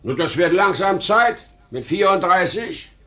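A man's voice: high voice, expressive, unhurried, bright, forceful tone, exaggerated voice. A man playing an irritable, nostalgic, weary ageing salesman and father in a 1950s German radio drama, swinging between indignant outbursts and brooding murmurs. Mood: indignant, scornful.

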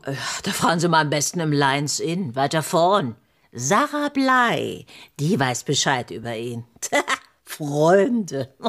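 A woman's voice: deep voice, big pitch swings, takes it slowly, fairly bright tone, full character voice. An actress playing a gossipy, wary old landlady in a radio play, chatty and mocking.

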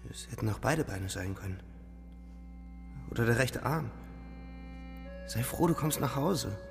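A man's voice: medium pitch, animated, measured pace, creaky, soft background music. An actor playing a weary, alienated young soldier who narrates his war with intensity in a German radio drama. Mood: hushed, reassuring. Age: young adult. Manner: brooding, intense, subdued.

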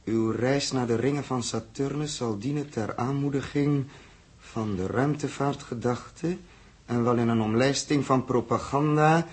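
A man's voice: medium pitch, measured pace, breathy edge. A man acting a blunt, principled spaceship commander in a 1960s Dutch science fiction radio drama. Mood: tense, businesslike. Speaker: spaceship captain. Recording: noisy old radio drama recording, clicks.

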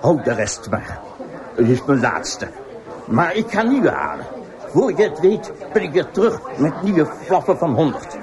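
A jovial, reckless man throwing money around in a radio drama. His voice is highish and swoops freely as he swaggers slowly through his words. A clear crowd is heard behind him. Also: very animated. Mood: boisterous swagger. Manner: jovial, swaggering, drawn-out delivery. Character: boastful, reckless male big spender living it up.

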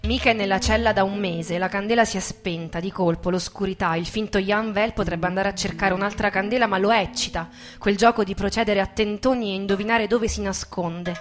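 A woman giving a dramatic, scripted stage narration with intense, measured storytelling. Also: medium pitch, fairly even intonation. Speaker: actress and narrator.

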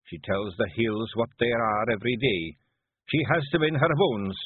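A man voicing a tiny, brooding, stubborn clan chief with a gruff Scottish accent, in a storybook reading. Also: mid-range voice, normal speed, no echo, phone-quality sound, pitched above the performer's own voice, natural voice. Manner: gruff, emphatic.

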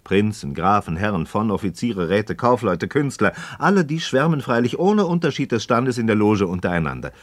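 A disillusioned male idealist in a classic radio play, questions his friend with aggrieved passion. His low voice swings widely in melody, declamatory and rhetorical, in a very dry, close acoustic. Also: sing-song, normal speed, hardly any pauses, no room sound. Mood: bitter, indignant. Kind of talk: mid-century German radio play acting of philosophical dialogue.

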